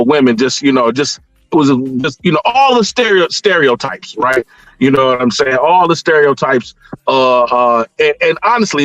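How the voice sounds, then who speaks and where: A man's voice: mid-range voice, fairly bright tone, no echo, lo-fi sound. A middle-aged American man talking casually as a call-in guest, rambling and repeating himself with frequent filler phrases. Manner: rambling, repeats words, frequent "you know". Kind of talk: casual discussion of personal experience. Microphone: internet call connection. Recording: band-limited online call audio.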